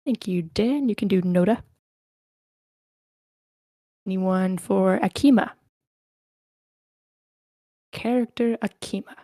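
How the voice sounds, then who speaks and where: A woman's voice: medium pitch, takes it slowly, long pauses, warm tone, no room sound. A woman hosting an online table read, talking casually and informally as she organizes the cast. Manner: informal, chatty. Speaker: host of online script table reads.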